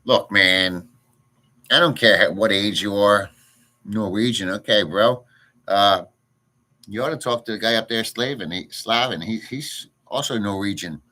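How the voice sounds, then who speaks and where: Stream host, male, low-ish voice with a sharp forceful edge, crude rambling rant style. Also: a little slow. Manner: blunt, crude, rambling, reads chat aloud. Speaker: livestream host. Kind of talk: casual ranting livestream chat. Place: home in a rural province.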